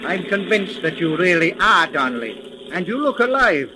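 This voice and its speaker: A suspicious police sergeant challenges people bluntly in a 1930s radio drama. His voice is high and piercingly forceful, and the old recording carries some noise. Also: very animated, moderate pace, full character voice, poor audio. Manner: gruff, skeptical, challenging. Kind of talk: 1930s mystery radio serial acting.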